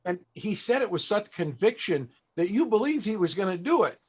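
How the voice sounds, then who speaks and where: Panel interviewer: man, slightly high voice, measured, emphatic delivery in a conversational register. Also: big pitch swings, a little mellow, breathy edge.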